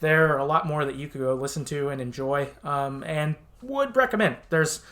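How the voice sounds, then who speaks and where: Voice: medium pitch, moderate pace, a bit bright. A podcast host talking casually and informally, retelling a story in a conversational way with plenty of hedging.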